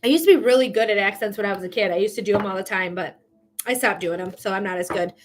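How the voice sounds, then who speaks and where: A woman's voice: mid-range voice, fast, a little hiss or hum. A bubbly, chatty woman with a Michigan accent hosting a live psychic reading stream, laughing often as she talks to viewers. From Michigan, United States. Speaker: psychic reader hosting a livestream. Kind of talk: casual livestream chat.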